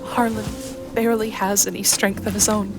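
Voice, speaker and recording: A woman's voice: mid-range voice, flat delivery, normal speed. A woman playing a tabletop game, narrating dramatically in the third person. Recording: music behind the voice.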